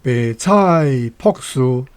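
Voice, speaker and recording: A man, a guest commentator, casually explaining word meanings in a relaxed, musing way with fillers. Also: mid-range voice, extremely slow, no room sound.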